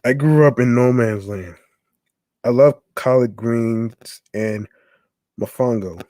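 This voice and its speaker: A man hosting a podcast, reading a text aloud haltingly, stumbling and restarting on words. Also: medium pitch, warm tone, no echo. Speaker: podcast host.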